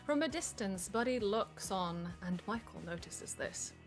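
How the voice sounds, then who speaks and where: A woman's voice: mid-range voice, lively intonation, measured pace. Woman narrating over faint music. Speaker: narrator reading stage directions. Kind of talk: scripted narration at a comedic live table read.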